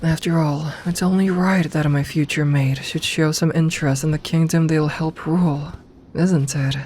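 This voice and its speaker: A woman playing an imperious serpent queen in an ASMR audio roleplay, speaking formally and with measured dignity, commanding yet tender toward her companion. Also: low voice, a little mellow, natural voice. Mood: fond, reassuring. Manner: regal, formal, measured speech. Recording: ambient noise behind the voice.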